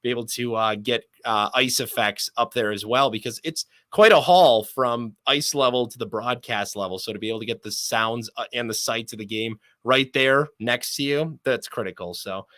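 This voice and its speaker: A man's voice: medium pitch, moderate pace, hardly any pauses, a bit bright, no room sound. A man speaking as a sports broadcaster guest in an interview, enthusiastic and wordy.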